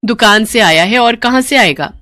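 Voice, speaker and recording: A woman voicing a sharp-tongued, defensive wife in a cartoon story, brazen and sarcastic with dramatic retorts. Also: a little high, animated, moderate pace, dry recording, studio quality, pitched above the performer's own voice. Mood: snappy, sarcastic. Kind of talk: Hindi cartoon story dialogue.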